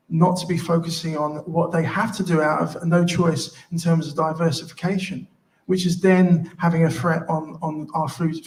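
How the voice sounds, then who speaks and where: Low-voiced woman, halting political candidate. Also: darkish tone, rough recording. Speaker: independent election candidate. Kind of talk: impassioned hustings speech. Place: live public hustings event.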